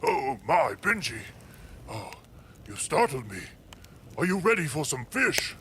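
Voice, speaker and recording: A man voicing a kindly, sleepy male storyteller creature in an audio drama. He muses genteelly and hesitantly, with lively swoops in pitch, over some background noise. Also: a little slow, heavily acted. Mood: startled, genial.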